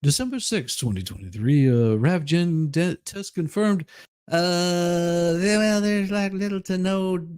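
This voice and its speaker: A man's voice: high-pitched, lively intonation, slow, a little mellow, clear, resonant tone. A man giving a comedic dramatic reading of a legal document, theatrical and folksy, with mocking asides. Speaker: streamer performing a dramatic reading.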